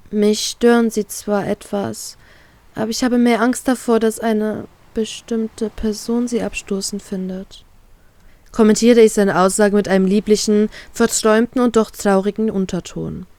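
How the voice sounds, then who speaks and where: A woman's voice: medium pitch, moderate pace, a little mellow, dry recording. A woman reading a first-person fan fiction story aloud, voicing a heartbroken inner monologue.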